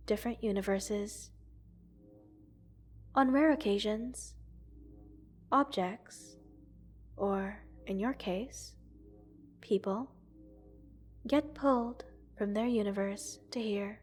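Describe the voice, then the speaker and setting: A woman voicing a gentle, curious alien scientist in an ASMR roleplay, speaking formally, precisely and reassuringly to the listener. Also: medium pitch, takes it slowly, long pauses, soft background music. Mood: patient explaining. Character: gentle, curious multi-world alien scientist studying a captive human.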